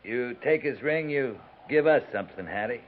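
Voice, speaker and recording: An actor playing a wounded, determined army captain with an American accent, halting but commanding, in a radio western. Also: male voice, mid-range voice, animated, close to an everyday voice. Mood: firm. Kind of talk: 1950s radio western acting. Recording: noisy, muffled 1950s radio recording.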